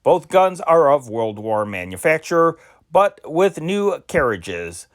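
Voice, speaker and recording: Man steadily reading old news dispatches. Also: a little high. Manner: steady deliberate reading with occasional slips and self-corrections. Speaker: amateur newsreader.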